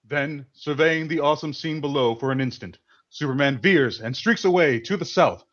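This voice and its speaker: A man's voice: a little high, normal speed, a bit bright, soft background music. A man reading scripted narration for an old-time radio drama over an internet call. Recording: dull lo-fi internet call audio.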